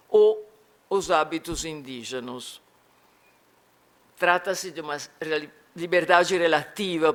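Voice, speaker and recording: A man lecturing in an academic talk with an Italian-tinged Rio accent, pausing often and restarting his phrases. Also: fairly high pitch, a bit bright. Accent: Italian-tinged Rio Portuguese. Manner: halting, with frequent restarts and fillers. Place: lecture hall.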